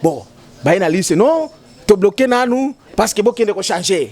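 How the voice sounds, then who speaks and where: A man's voice: high-pitched, animated, fast, darkish tone. A man in a heated political debate, talking emphatically and repeating himself, mixing French with Lingala. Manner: emphatic, repetitive, switching between French and Lingala. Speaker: political commentator.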